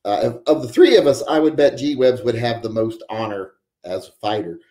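A man's voice: medium pitch, expressive, fairly quick, dark tone, reverberant. A man in his forties hosting a live stream from home, reading viewer chat and rambling with wry joking asides. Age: 40s. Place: home room with guns on the wall.

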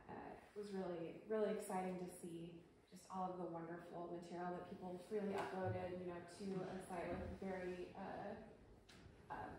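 A woman's voice: medium pitch, darkish tone. Woman presenting in an echoey room. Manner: thoughtful, many ums and restarts. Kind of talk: informal live presentation. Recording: echoey room, far from mic, faint noise.

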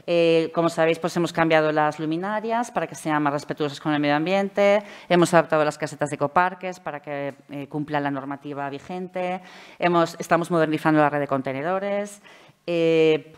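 A middle-aged woman with a Valencian Spanish accent giving a conference presentation from a table mic, measured and explanatory with frequent hesitation fillers. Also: sits low, clear, resonant tone. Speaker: speaker at a public administration conference. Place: conference hall, seated at a table. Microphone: table microphone.

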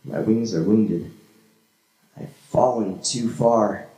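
A man's voice: fairly low pitch, takes it slowly. Young man performing dramatic spoken word. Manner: dramatic, swinging from soft to shouted. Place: church event room on a small stage. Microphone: handheld mic. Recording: echoey room from a far camera.

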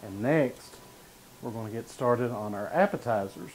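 A man's voice: mid-range voice, lively intonation, normal speed, darkish tone, a little hiss or hum, poor audio. A man in his thirties hosting a cooking show, demonstrating a recipe in a studio kitchen in an easygoing way with frequent "uh"s. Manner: easygoing, talks while stirring and slicing, frequent "uh" and "gonna". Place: home-style studio kitchen, indoors.

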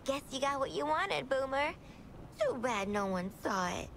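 A woman's voice: high-pitched, lively intonation, normal speed, fairly bright tone. A woman playing a sardonic antihero in a video game, needling companions with sarcasm. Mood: sarcastic. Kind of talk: video game character acting.

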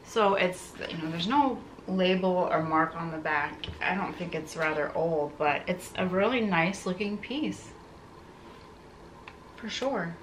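A woman's voice: fairly low pitch, measured pace, a little hiss or hum, low-quality recording. A woman in her thirties talking casually to camera as she hosts an unboxing vlog, musing aloud in a conversational way. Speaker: content creator, video host. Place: living room, indoors.

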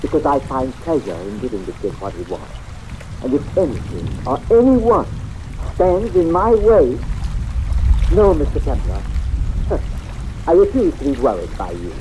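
An actor playing an obsessed, menacingly polite fight-fixing boss in an old radio drama, speaking formally and precisely with emotional intensity. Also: male voice, medium pitch, wildly swooping pitch, unhurried, long pauses, noisy background. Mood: cold, threatening. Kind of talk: 1940s-50s radio drama acting. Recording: old radio recording under rain ambience.

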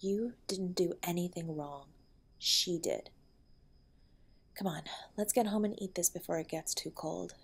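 Woman doing a tender wife comforting her husband in roleplay audio, lowish creaky voice, affectionate and soothing. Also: unhurried. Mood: gentle reassurance. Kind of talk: intimate roleplay audio acting.

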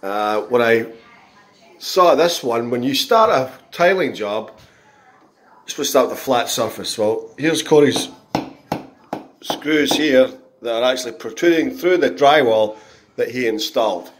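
A man reviewing a contractor's work in a handheld phone walkthrough of a half-tiled bathroom, speaking in a halting, critical way with many pauses. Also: medium pitch, lively intonation, slight background noise. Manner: slow, halting, frequent pauses and restarts; disgruntled, critical. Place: bathroom under renovation, indoors. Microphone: phone camera held in hand.

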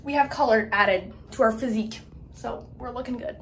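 A woman's voice: on the high side, moderate pace. A young woman chatting casually in a vlog, bubbly and saying "literally" a lot, filmed on a handheld phone. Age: young adult. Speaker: vlogger. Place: hotel room, indoors. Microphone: handheld phone camera. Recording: noisy phone audio.

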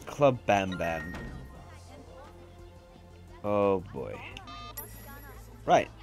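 A young man narrating his gameplay, sarcastic and sweary, with a stuffed-up nasal sound. His delivery rises and falls in a lively way as he riffs along, and faint game music sits behind him. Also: deep voice, very slow. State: congested. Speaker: let's play commentator. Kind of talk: casual gameplay commentary.

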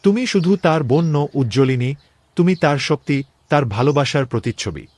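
A man's voice: fairly high pitch, lively intonation, a little fast, darkish tone, no echo. A man playing a king's loyal, worried young friend in a cartoon drama, with an earnest, pleading way of speaking. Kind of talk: dramatic Bengali cartoon voice acting.

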